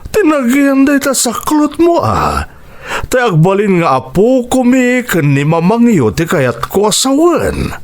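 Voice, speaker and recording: Tender, expressive old Filipino father. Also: extremely high pitch, a little slow, exaggerated voice. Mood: fond. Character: gentle, concerned elderly father longing for a grandchild. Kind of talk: radio drama acting.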